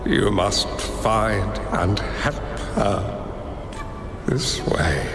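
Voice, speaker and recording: A man playing an elderly mentor in a game, gentle and prophetic, speaking in a measured, formal, weighty way. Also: mid-range voice, gravelly, heavily acted. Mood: solemn, guiding. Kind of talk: dramatic scripted game dialogue. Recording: echoey, reverberant, music behind.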